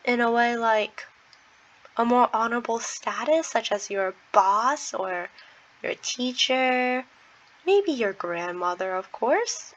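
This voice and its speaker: Slow, careful woman teaching pronunciation. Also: on the high side, fairly bright tone. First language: English. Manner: careful, patient, demonstrates sounds repeatedly. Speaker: language teacher. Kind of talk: slow explanatory lesson with pronunciation drills. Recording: dull lo-fi home recording.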